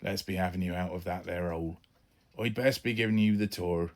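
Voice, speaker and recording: A man's voice: sits low, fairly even intonation, fairly quick. A man voicing a middle-aged, roguish space pirate father with folksy, colloquial talk in a children's audiobook. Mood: affectionate.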